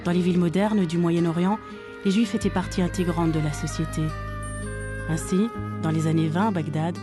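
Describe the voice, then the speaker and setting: A woman giving measured, formal scripted narration for a documentary. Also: fairly low pitch, fairly even intonation. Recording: narration over guitar music.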